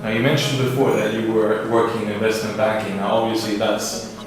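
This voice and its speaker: A man interviewing a startup founder, asking upbeat, slightly halting questions. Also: on the low side, little pitch movement. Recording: echoey room, far from mic, music behind.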